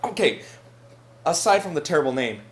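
A man's voice: a little high, very animated, brisk. A man playing an irritable young roommate in a mockumentary comedy sketch, whiny, exasperated and emphatic. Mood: fed up.

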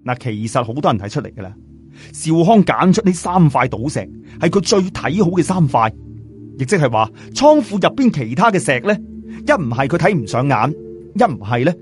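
Lively male Cantonese storyteller. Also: medium pitch, brisk, soft background music. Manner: conversational, explanatory. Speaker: audiobook storyteller. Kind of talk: animated scripted narration with colloquial asides.

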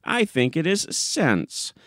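A man's voice: on the high side, wildly swooping pitch, takes it slowly, a bit bright, dry recording, studio quality, pitched above the performer's own voice, heavily acted. A man voicing a smug, naive newspaper editor, self-assured and defensive, in a short story audiobook. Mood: smugly earnest.

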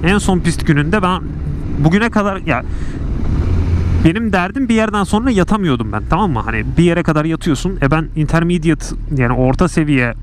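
A man's voice: on the high side, lively intonation, fairly quick. A man talking casually in a rambling, self-mocking way while riding a motorcycle through city streets, recorded on a helmet mic. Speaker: motovlogger. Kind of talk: casual riding vlog chat. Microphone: helmet mic on a moving motorcycle. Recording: helmet mic with road and wind noise. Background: traffic and engine noise.

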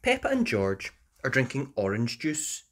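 Slow, very animated woman reading a storybook. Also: a bass voice. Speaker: storybook reader, channel host. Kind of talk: expressive children's read-aloud narration.

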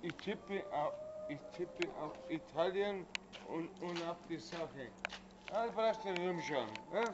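A middle-aged man answering questions outside a café, halting and hesitant, repeating his words as he searches for them. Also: sits high, noisy background. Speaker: interviewee. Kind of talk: casual street chat. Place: outdoors in front of a café. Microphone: handheld camera nearby. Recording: muffled lo-fi sound with people around.

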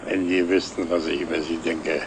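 Casting: muttering grump, deep gravelly voice, noisy vintage drama soundtrack. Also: male voice, little pitch movement, moderate pace. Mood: grim, tense. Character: grim, muttering man dreading a formal occasion. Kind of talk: scripted television drama dialogue.

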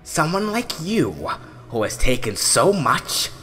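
Betrayed skeleton hero out for revenge, slow n dramatic, swings all over, cartoon dub. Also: male voice, a little high, big pitch swings, bright, forceful tone, heavily acted. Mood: vengeful, intense. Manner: theatrical, deliberate, dramatic pauses. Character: betrayed skeleton hero turned grimly vengeful, male. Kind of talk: dramatic comic dub monologue. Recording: music behind the voice.

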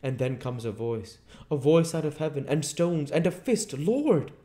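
Flustered, high-pitched rustic tramp. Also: male voice, expressive, a little slow, mellow. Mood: flustered, aggrieved. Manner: blustering, rustic, slangy exclamations. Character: flabbergasted, frightened, cringing tramp Mister Marvel.

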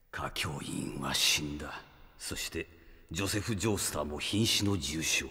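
Arrogant sadistic anime villain, slow pompous gloating delivery, drenched in reverb. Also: male voice, deep voice. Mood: gloating, menacing. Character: arrogant, sadistic male villain who stops time.

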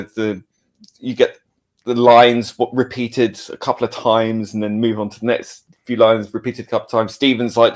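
A man with a mid-pitched voice speaks casually in an interview as an audio producer. He sounds enthusiastic, and he often circles back to restart a phrase before carrying on.

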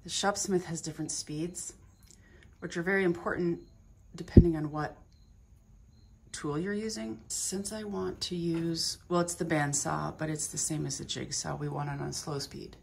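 A woman explaining her woodworking tools in a relaxed, step-by-step way, recorded in her home garage workshop. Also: deep voice, moderate pace, vocal fry, a little hiss or hum. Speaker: woodworking vlogger. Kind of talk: casual how-to narration.

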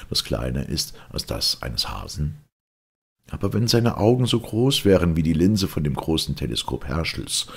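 A man narrates a classic audiobook in an extremely low voice. It is husky and rough, with a heavy rasp. His delivery is measured and expressive, as a storyteller reading literary prose.

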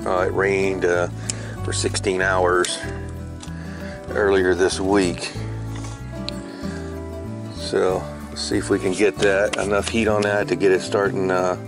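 A man hosting an outdoor cooking video at a campsite, talking through each step in a relaxed, chatty way with fillers. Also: sits low, slow, creaky, pauses often. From Florida. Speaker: outdoor cooking channel host. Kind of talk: casual how-to narration while cooking outdoors. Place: outdoors at a campsite by a fire pit. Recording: music playing under the voice.

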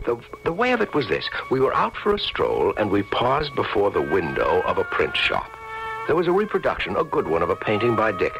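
An actor playing a thoughtful, concerned friend who tells the story in measured, dramatic old radio style. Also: male voice, fairly low pitch, creaky, full character voice, poor audio. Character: concerned, reflective male friend narrating the painter's story.

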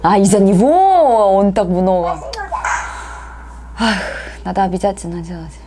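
A woman with a Korean accent, a native Korean speaker, giving casual reaction commentary in short exclamations and simple halting phrases. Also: mid-range voice, slight background noise. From South Korea. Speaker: reaction video host. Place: small room indoors. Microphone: lapel mic. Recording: music playing loudly behind the voice. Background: loud music from the cartoon being watched.

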